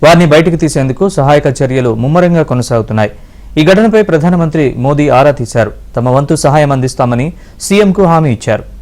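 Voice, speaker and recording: A man's voice: fairly high pitch, lively intonation, a little fast, darkish tone. A man presenting scripted news in a formal, urgent way.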